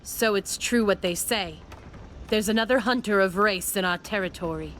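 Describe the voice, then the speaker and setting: A woman's voice: medium pitch, moderate pace, a bit bright, natural voice. Woman doing shrewd wry lady monster hunter in a game, confident, faint noise behind. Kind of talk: video game voice acting.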